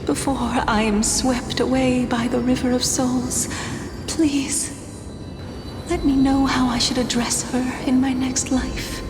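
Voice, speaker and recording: Game role: mournful woman of a fallen city, high-pitched and solemn, cavernous reverb over music. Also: level tone, slow pace. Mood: pleading. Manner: solemn, formal, archaic storytelling. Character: sorrowful dying woman of a drowned, doomed city. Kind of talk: dramatic video game acting. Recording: echoing hall reverb under loud music.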